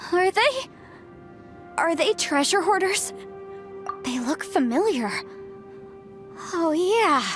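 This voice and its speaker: A woman voicing a bright, confident young legal adviser and fighter in a video game, chatty and theatrical. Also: sits high, exaggerated voice. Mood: surprised, determined. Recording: game music behind the voice.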